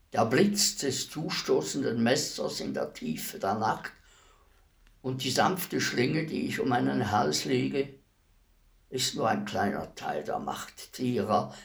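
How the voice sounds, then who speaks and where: An actor playing a solemn, courteous executioner in a German radio play, speaking formally and deliberately. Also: male voice, medium pitch, gravelly. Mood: solemn, grave. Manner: formal, measured, deliberate delivery.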